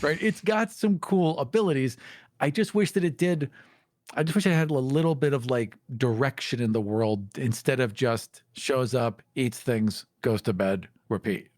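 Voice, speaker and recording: A man's voice: mid-range voice, moderate pace, darkish tone, no echo, studio quality. A man on a discussion panel, speaking casually in a playful, opinionated way. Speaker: podcast panelist.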